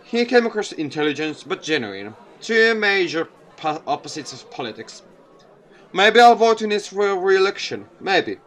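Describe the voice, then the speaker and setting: A man with a fairly high voice reads a visual novel aloud. He sounds like a gaming video creator, moving between scripted narration and his own remarks. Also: very animated, normal speed, a bit bright, a faint crowd.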